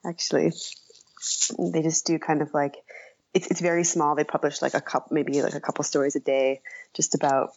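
A low-voiced American woman answers interview questions in casual conversation. Her voice has a lot of vocal fry, and she speaks haltingly, doubling back on words. The recording sounds muffled and lo-fi. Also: level tone, darkish tone. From Iowa, United States. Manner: hesitant, halting, repeating words as she thinks. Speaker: interview guest, writer and journalist.

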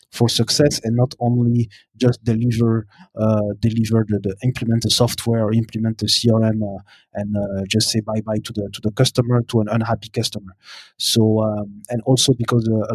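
A man from Brussels, answering interview questions in a halting, word-searching way. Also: on the low side, level tone, breathy edge, no echo. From Brussels, Belgium. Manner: hesitant, halting, searching for words. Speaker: podcast guest, consultant.

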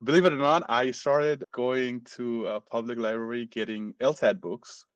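A man's voice: mid-range voice, fairly even intonation, normal speed, clear, resonant tone, dry recording, lo-fi sound. A middle-aged man from Nepal with a Nepali accent, telling his personal story as an interview guest. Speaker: podcast guest, medical student.

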